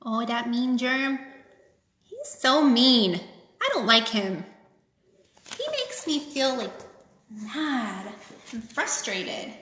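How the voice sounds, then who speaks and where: A woman reading a picture book aloud to children, with expressive, simple phrasing and chatty asides. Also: on the high side, takes it slowly, pauses often. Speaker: children's author reading her own book. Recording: echoey, reverberant room.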